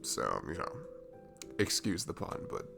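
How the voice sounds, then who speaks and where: Casting: male actor as cute, soothing barista for ASMR audio. Slow, gentle, rambling delivery with lively swings in pitch, over clear background music. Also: full character voice. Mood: playful. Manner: gentle, rambling, hesitant, breathy chuckles and sighs. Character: cute, sweet, comforting young male barista chatting. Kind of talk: ASMR roleplay, soft casual chat.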